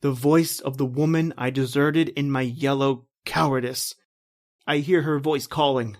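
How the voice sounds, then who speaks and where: A man's voice: medium pitch, steady tone, a little slow, voice pushed down, natural voice. A man playing a guilt-ridden, terrified doomed man, declaiming urgently and melodramatically in old-time radio horror style. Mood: bleak, haunted.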